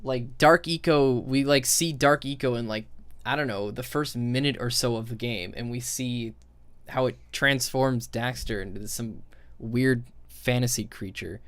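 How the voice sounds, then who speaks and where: A man with a medium-pitched voice gives casual, emphatic commentary like a video game critic. The recording is close and dry. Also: measured pace, no echo.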